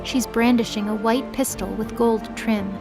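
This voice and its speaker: High-voiced woman narrating drama. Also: steady tone, normal speed, music in the background.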